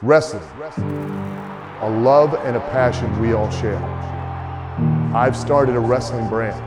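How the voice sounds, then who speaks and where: A man delivering scripted ad narration, dramatic and measured in a mid-range voice. Loud music plays right under him. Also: mid-range voice.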